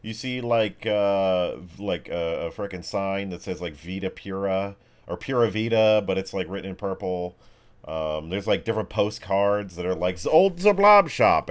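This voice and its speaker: A man's voice: low-pitched, darkish tone, smooth. A man acting as game master, improvising tabletop narration in a casual, hesitant way. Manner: hesitant, piecing descriptions together as he goes.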